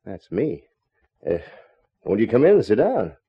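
An actor playing a wry, hard-boiled American private eye in a 1950s radio detective drama, deadpan and wisecracking. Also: male voice, lowish voice, unhurried, husky, lo-fi sound, heavily acted. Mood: businesslike.

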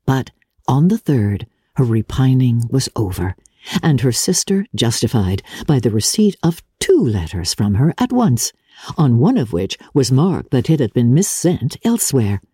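A woman's voice: very deep voice, very animated, a little slow, warm tone, slightly rough, dry recording, studio quality. A woman narrator giving a dramatic reading of classic literature.

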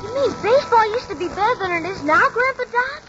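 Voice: extremely high pitch, animated, quick pace, loud music behind the voice. A performer playing a curious, wide-eyed young boy eagerly questioning his grandfather in a 1940s radio drama. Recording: muffled, noisy 1940s radio recording.

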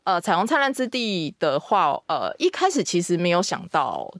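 A woman's voice: fairly low pitch, very animated, normal speed, no echo, studio quality. A woman with a Taiwanese Mandarin accent explaining things casually as a guest on a talk podcast. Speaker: guest, comics publisher editor.

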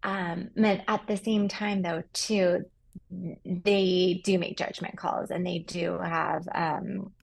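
A woman's voice: fairly low pitch, little pitch movement, slow, creaky. A woman speaking as a reporter being interviewed, explaining things with emphatic repetition.

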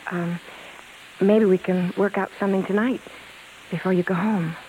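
An American woman playing a bossy, quick-tempered, showy female vaudeville star in a 1950s radio drama, talking in brash, commanding slang. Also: mid-range voice, steady tone, warm tone, a little hiss or hum, natural voice, low-quality recording. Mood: subdued, businesslike. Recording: vintage 1950s radio broadcast with music.

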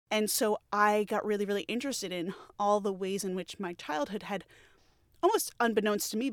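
A woman's voice: medium pitch, no room sound, clean studio sound. A woman from New York in her thirties, a podcast co-host chatting in an interview, self-deprecating and laughing often. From New York, United States. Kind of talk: casual interview conversation.

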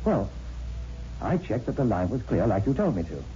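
Mellow, earnest man in radio drama. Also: fairly low pitch, very animated, moderate pace. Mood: modest, puzzled. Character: earnest, modest male hero of a railway ghost tale. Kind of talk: 1960s radio drama acting. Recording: dull, noisy old radio recording.

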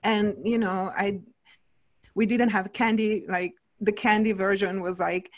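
A woman's voice: medium pitch, fairly even intonation, a little slow. Italian woman nutritionist, middle-aged, casual and rambling interview guest. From Italy. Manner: chatty, many fillers like "like" and "you know".

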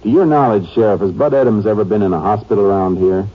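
An American actor playing a shrewd, laconic Texas Ranger in a 1950s radio drama, terse and matter-of-fact. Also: male voice, lowish voice, fast talker, lightly acted. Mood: businesslike. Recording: muffled old radio recording, faint music.

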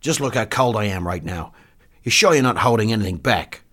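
A man's voice: lively intonation, fairly quick, a bit bright. A glum military doctor in an audiobook, acted by a male narrator. He is whiny and self-pitying, complaining with peevish indignation and distrust of those around him. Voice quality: shrill when upset.

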